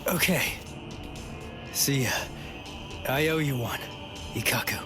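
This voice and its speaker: A man's voice: medium pitch, slow, over music, close to an everyday voice. An actor playing a cocky, stubborn teenage boy hero in a video game, blunt, casual and sarcastic. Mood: blunt, determined. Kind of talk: English video game voice acting.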